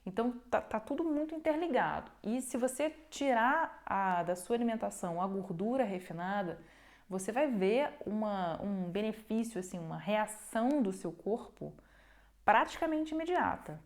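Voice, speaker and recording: Young woman on a phone livestream, casual and emphatic, keeps asking "right?", middle pitch. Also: animated, normal speed. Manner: emphatic, repeats points, uses tags like "tá?" and "né?". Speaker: nutrition live host.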